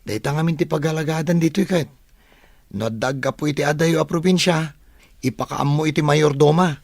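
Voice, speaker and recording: A man's voice: fairly high pitch, fairly quick, creaky, dry recording. A man acting a helpful young dormitory buddy in an Ilocano radio drama.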